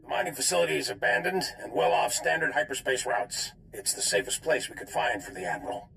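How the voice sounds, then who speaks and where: Very gravelly, grave commander. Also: male voice, a little high, fairly quick, pushed and bright, slight background noise, exaggerated voice, poor audio. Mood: businesslike concern. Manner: earnest, businesslike. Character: grave, pragmatic male intelligence commander giving briefings. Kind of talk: dramatic video game acting.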